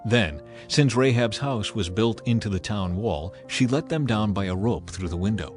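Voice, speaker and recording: A man reading scripture as a dramatized narration, in a solemn, measured storytelling way. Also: lowish voice, expressive, faint music behind. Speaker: Bible narrator.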